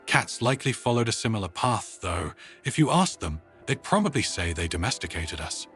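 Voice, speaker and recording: A man's voice: lowish voice, sing-song, normal speed, fairly bright tone, faint music behind. A man voicing a wry, good-humoured woman space commander, explaining casually in a narrated sci-fi story. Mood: amused.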